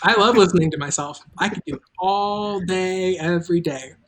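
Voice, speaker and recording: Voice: mid-range voice, moderate pace, slight background noise. Chatty, informal livestream host with a midrange voice. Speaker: host and producer of an audio drama. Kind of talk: casual livestream chat.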